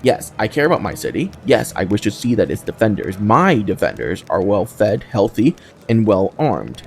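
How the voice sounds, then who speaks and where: A man's voice: fairly low pitch, very animated, moderate pace, vocal fry, slight background noise. A man voicing a haughty, wealthy merchant from a fantasy game, formal and pompous in his proud delivery. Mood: indignant. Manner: formal, pompous, fussy diction.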